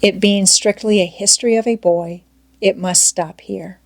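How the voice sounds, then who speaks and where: A woman's voice: medium pitch, fairly even intonation, normal speed, vocal fry, a little mellow, no echo. A woman reading scripted storybook narration as an audiobook narrator.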